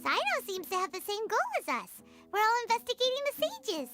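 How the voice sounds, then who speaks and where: A tiny, squeaky fairy sidekick in a video game. The voice is extremely high and childlike, swinging up and down with chirpy, outspoken naivety, over clearly audible music. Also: female voice, very animated, brisk. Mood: curious. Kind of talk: animated video game voice acting. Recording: music playing behind the voice.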